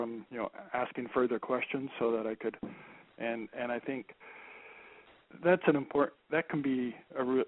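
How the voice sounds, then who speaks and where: Soft-spoken man over a phone line. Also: mid-range voice. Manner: halting, many repeats and restarts. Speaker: guest expert.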